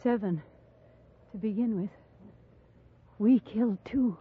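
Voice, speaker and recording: An actress playing a stoic, grieving frontier mother in her thirties in a 1950s radio drama, solemn and halting, with a breathy edge. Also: medium pitch. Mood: tense. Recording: muffled vintage 1950s radio recording.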